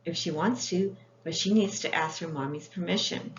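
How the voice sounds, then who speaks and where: A woman voicing a gentle mother who directs children kindly in a storybook reading. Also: lowish voice, moderate pace, natural voice. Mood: gently firm. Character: Kukana's mother, gentle, organizing parent. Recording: echoey room, dull sound, faint noise.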